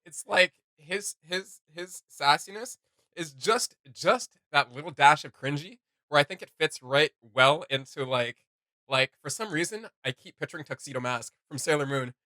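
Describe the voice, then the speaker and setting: A man's voice: on the high side, lively intonation, normal speed, bright, forceful tone, no echo. A man hosting a reaction video, chatting casually with slangy enthusiasm.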